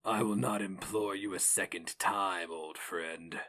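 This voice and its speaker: An imperious male hero mage from a fantasy tale, acted out by a male reader. He speaks slowly and with forceful menace. His grating, clipped words carry immense authority and theatrical weight. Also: low-pitched, fairly even intonation. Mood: cold threat.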